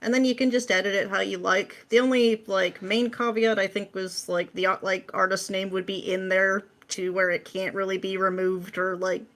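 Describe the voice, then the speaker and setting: Podcast guest, female, mid-pitched voice, informal and matter-of-fact, explains in a conversational style. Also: little pitch movement, normal speed, hardly any pauses, fairly bright tone. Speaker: interview guest, ASMR roleplay script writer. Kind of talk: casual explanatory chat.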